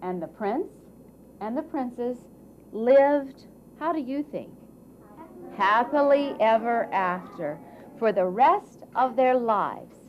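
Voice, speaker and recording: A woman narrating a children's fairy tale at a live puppet show, with dramatic, drawn-out phrasing that swells and hushes for emphasis. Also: a little high, animated. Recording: dull, noisy live event recording.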